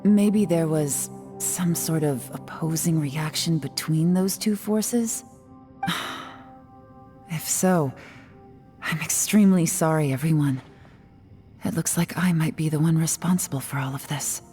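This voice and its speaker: Low-voiced, composed woman investigator. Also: warm tone, faint music behind. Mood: candid. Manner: measured, deliberate, composed. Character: cool, composed young woman investigator, intrigued and teasing. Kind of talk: video game voice acting.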